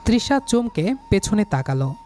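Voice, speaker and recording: A man's voice: sits high, very animated, measured pace, a little mellow, soft background music. A young man reading a scripted romantic story in the first person, voicing the lead character's lines.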